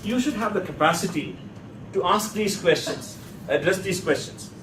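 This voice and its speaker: Male lecturer, slightly high-pitched, emphatic and questioning, heard from across an echoey classroom. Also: animated, normal speed. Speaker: teacher leading a workshop class. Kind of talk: classroom teaching with rhetorical questions. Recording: echoey, noisy room, distant mic.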